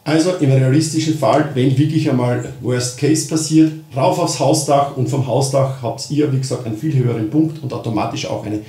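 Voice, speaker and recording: Male Austrian tutorial presenter, mid-pitched voice, informal step-by-step delivery, roomy echoing sound. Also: darkish tone, slight background noise. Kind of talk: spoken how-to explanation of a gadget.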